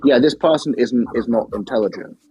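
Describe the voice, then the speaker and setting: A man's voice: medium pitch, lively intonation, fairly quick, highs cut off, crowd noise. A man in a casual group chat, making wry, dry jokes. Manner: wry, dryly joking. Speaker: live stream panelist.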